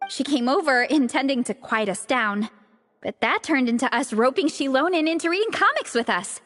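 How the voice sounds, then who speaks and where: A woman voicing a cheerful, encouraging young comic fan in a video game, animated and upbeat. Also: high voice, normal speed, long reverb tail. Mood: excited reminiscing.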